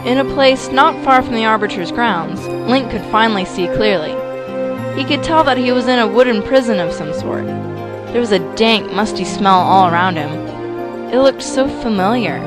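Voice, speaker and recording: A woman's voice: fairly high pitch, expressive, normal speed, loud music behind the voice. A woman reading scripted, dramatic story narration for an amateur audio drama.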